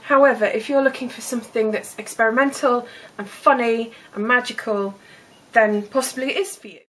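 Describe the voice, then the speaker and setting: High-voiced woman reviewing books. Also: steady tone, brisk, a bit bright, low-quality recording. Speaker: book reviewer. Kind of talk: book vlog with dramatic readings.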